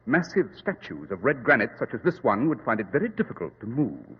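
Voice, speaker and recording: Male detective: fast, authoritative, cool logic, lively inflection; old radio drama. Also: mid-range voice, slightly rough, lo-fi sound. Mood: decisive. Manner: brisk, precise, authoritative. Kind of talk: 1940s radio drama acting. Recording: echoey, hissy old radio broadcast.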